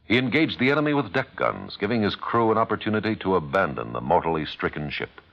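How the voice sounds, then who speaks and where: A man's voice: on the low side, animated, quick pace. A man reading a solemn, formal scripted public service announcement on an old radio broadcast. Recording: dull old radio recording.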